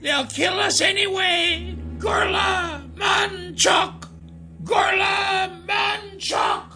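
A man's voice: very high-pitched, steady tone, very slow, shouting, music in the background, voice pushed up, exaggerated voice. A man voicing a small, young, defiant dwarf warrior in an audiobook, with a fiery, strained delivery. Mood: desperate, fierce. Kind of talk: audiobook character voice.

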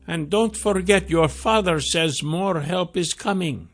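A man voicing an old male wizard who is calm, protective and speaks slowly in a raised, distant-sounding voice. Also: sits high, voice pushed up. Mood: earnest.

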